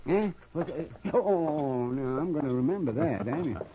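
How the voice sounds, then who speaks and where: Actor as a crotchety, high-voiced old frontier doctor. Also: male voice, animated, moderate pace, background noise, natural voice. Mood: wry grumbling. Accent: American. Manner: grumbling, hesitant, crotchety. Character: crusty, wry frontier town doctor, older man. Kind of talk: 1950s radio drama acting. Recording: muffled 1950s radio recording.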